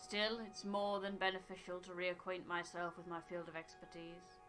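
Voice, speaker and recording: A woman voicing a scholarly, aloof male botanist from a video game, with precise, formal, measured phrasing. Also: lowish voice, monotone, over music, close to an everyday voice. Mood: formal, musing. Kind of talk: voiced reading of video game dialogue.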